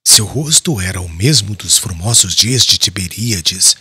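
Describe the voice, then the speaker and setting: An actor playing a devout, compassionate male apostle and church leader in an audiobook drama, solemn and earnest, with a husky voice. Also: low-pitched, expressive, moderate pace, pushed and bright, no echo, exaggerated voice. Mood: solemn, reverent.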